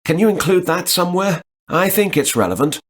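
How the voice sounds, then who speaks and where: A man playing a job applicant in a scripted listening dialogue, answering questions in a relaxed way and thinking aloud. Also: sits high, a little fast, breathy edge.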